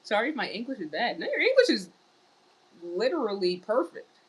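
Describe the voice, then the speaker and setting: A woman's voice: on the high side, huge pitch swings, fairly quick, breathy edge, low-quality recording. A woman streaming live in casual chat, reading viewer messages aloud and answering them. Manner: wearing large headphones. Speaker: livestreamer.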